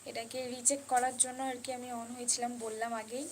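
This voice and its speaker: A woman's voice: fairly high pitch, level tone, fast talker, slight background noise. A woman chatting casually and unscripted as a live stream host, repeating herself and mixing in English words. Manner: repetitive, mixes in English words. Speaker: live stream host reading and answering viewer comments.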